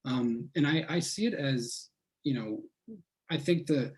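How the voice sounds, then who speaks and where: A man's voice: medium pitch, a little mellow. A man from Texas, a participant speaking casually and thoughtfully in an online discussion. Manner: thoughtful, measured, with fillers.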